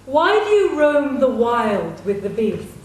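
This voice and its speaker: A woman's voice: on the high side, sing-song, slow pace. An actress playing an alluring young woman who coaxes a wild man, speaking in an inviting way in a dramatic reading. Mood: coaxing. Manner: coaxing, inviting. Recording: distant mic, echoey room.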